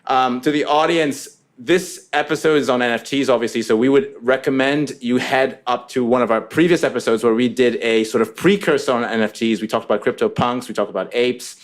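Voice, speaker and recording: A man hosting a casual podcast interview, recorded in a music studio. His voice sits at a medium pitch, and he talks in an upbeat, chatty way. He stumbles and repeats words often. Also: fairly even intonation, brisk, few pauses. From Mauritius. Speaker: podcast host.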